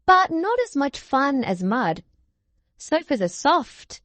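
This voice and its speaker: Small storybook pig, earnest and plaintive, slow and lilting; female reader acting it out. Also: high voice, very animated, fairly bright tone, no room sound, voice pushed up, heavily acted. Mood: musing. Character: small pig, earnest little mud lover. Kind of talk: read-aloud children's storybook.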